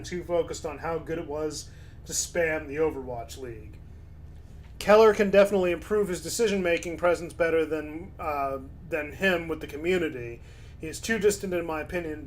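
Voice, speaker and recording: A man's voice: fairly high pitch, a little hiss or hum. Laid-back man with a tiring voice. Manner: laid-back, reads chat aloud and comments. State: starting to lose his voice. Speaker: streamer. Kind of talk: casual live-stream chat.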